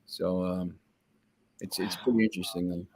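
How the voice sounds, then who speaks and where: A man's voice: deep voice, fairly even intonation, normal speed, a little mellow, a little hiss or hum. A man from New Jersey, a guest on a sports betting podcast, talking casually and rambling with many restarts and fillers. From New Jersey, USA.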